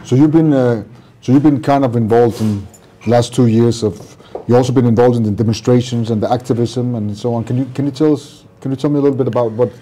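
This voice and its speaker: A male podcast host with a very dark, mellow tone asks his guests questions. He speaks haltingly, searching for words and often restarting his sentences. A faint hiss sits behind the voice. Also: medium pitch, steady tone. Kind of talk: conversational interview.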